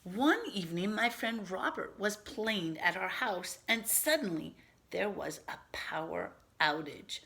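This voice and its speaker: A woman teacher reading a picture book aloud to young children, pausing to explain words and ask simple questions in an instructive way. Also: on the low side, lively intonation, gravelly, bright, forceful tone.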